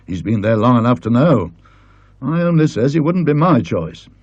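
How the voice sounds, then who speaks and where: A man's voice: medium pitch, sing-song, moderate pace, a little mellow, dry recording, lo-fi sound. A man playing a blunt, teasing village smith with a rural English accent in a storybook reading. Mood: insinuating. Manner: rustic, insinuating.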